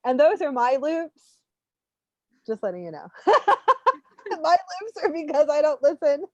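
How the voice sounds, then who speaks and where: A woman giving an unscripted spiritual reading, talking in an animated, informal, rambling way as she coaches. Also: high voice, measured pace. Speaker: spiritual reader hosting a live readings session. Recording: muffled, roomy, lo-fi online stream.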